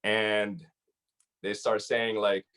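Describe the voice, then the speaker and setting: A man's voice: fairly low pitch, flat delivery, drawn-out words, fairly bright tone, smooth. A man, a hip hop artist raised in the Philippines, Saudi Arabia and Syria, talking casually as a guest with slang and some Arabic phrases. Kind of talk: casual interview chat.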